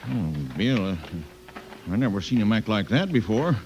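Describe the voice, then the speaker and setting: A folksy, excitable sheriff in an old radio western, his voice swinging up and down in a Western American drawl. The vintage recording is dull and slightly noisy. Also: lowish voice, normal speed. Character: friendly, plain-spoken, folksy small-town sheriff.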